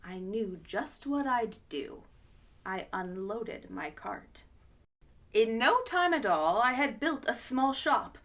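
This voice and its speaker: A woman reads a children's picture book aloud, expressive and animated, gasping with wonder at times. Her fairly high voice rises and falls widely. She takes each line slowly. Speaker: children's storytime host.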